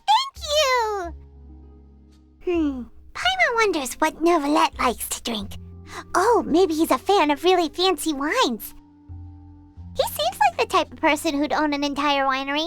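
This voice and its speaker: A woman voicing a small, childlike fairy companion in a video game, squeaky, excitable and curious, chatty and exclamatory. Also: full character voice. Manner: speaks of self in third person, exclamatory. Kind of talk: video game character dialogue.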